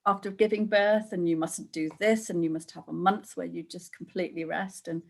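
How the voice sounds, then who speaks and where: A woman's voice: on the low side, steady tone, brisk, vocal fry. A middle-aged woman from Wales, a guest who chats casually, laughs often and acts out the voices she quotes. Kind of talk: casual conversational interview.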